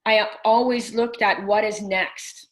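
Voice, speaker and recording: Middle-aged woman guest, mid pitch, earnest, loose and talkative. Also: steady tone, slow pace. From Persian family. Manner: earnest, full of restarts and fillers. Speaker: business coach guest. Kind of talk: casual interview talk.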